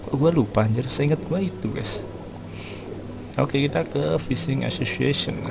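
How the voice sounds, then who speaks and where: Indonesian man with a creaky, chatty voice. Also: mid-range voice, moderate pace, noisy background. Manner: slangy, chatty, mixes in English words. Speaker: gaming video host. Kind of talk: casual game playthrough commentary.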